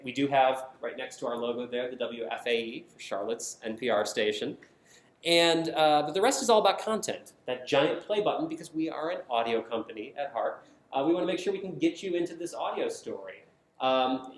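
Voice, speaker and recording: An American man giving a conference presentation as a product designer, explaining things clearly and stressing key phrases. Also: medium pitch. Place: indoor conference room, speaking to an audience. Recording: roomy, echoing conference room sound.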